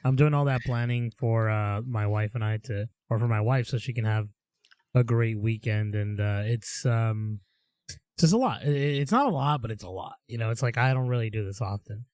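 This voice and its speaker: Animated young male podcast co-host. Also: lowish voice, normal speed, dry recording, clean studio sound. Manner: rambling and animated, with upbeat storytelling. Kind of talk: casual chat.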